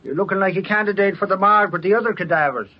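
Husky, high blustering sidekick. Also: male voice, rapid-fire. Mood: flustered bluster. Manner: blustery, folksy exclamations. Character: blustering, comic, slow-witted male sidekick. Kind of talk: old-time radio comic acting. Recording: lo-fi vintage radio.

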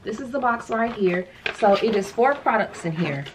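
An American woman in her thirties chatting casually to camera in a sassy, slangy, animated way, as a vlogger showing a haul. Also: medium pitch, normal speed, a little hiss or hum, low-quality recording. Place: furnished room at home, indoors.

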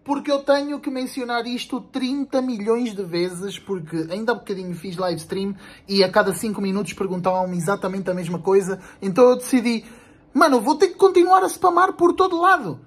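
A young adult man with a European Portuguese accent speaks casually to camera as a video creator. His delivery is animated, blunt and slangy, with swearing and emphatic repetition. He records himself at arm's length in a small room at home. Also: high voice, a little fast, breathy edge. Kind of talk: casual vlog announcement to camera.

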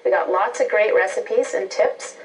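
Woman explaining tips on tv, medium pitch, recorded a bit far from mic. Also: normal speed. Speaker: turkey producers' spokesperson. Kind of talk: informational TV presentation. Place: indoor kitchen TV set. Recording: distant mic, old TV broadcast tape.

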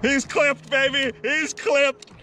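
A man in his thirties fishing from a kayak on open coastal water, narrating casually into a boat-mounted action camera and whooping with excitement. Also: extremely high pitch, takes it slowly. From California. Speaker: kayak angler filming himself. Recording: wind and water noise outdoors.

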